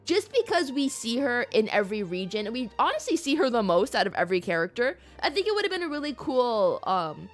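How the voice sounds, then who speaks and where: A woman livestreamer chatting casually over a game, playful, expressive and rambling to the viewers. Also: a little high, brisk, hardly any pauses. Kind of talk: casual game stream commentary. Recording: game music behind the voice.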